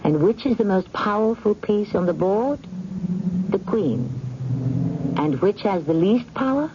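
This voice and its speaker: A man's voice: high-pitched, animated, measured pace, noisy background. A man hosting a 1970s radio drama, reading scripted narration in a theatrical, droll way. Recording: dull lo-fi radio broadcast, music behind.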